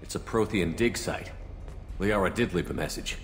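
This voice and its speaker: A man's voice: sits low, normal speed, soft background music, close to an everyday voice. A man playing a stoic military commander in a video game, speaking in a terse, matter-of-fact way. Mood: focused. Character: male military commander, stoic, direct, steady investigator.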